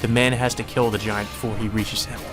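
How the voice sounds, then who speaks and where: A man's voice: fairly low pitch, flat delivery, brisk. A man reading a visual novel aloud as solemn, dramatic scripted narration. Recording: music behind the voice.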